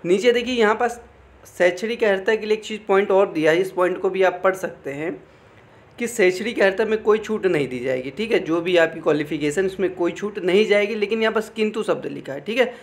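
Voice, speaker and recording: A man's voice: high voice, brisk. A man giving an informal explainer, reading out official text and commenting, often repeating phrases and adding check-in tags. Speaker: exam information presenter.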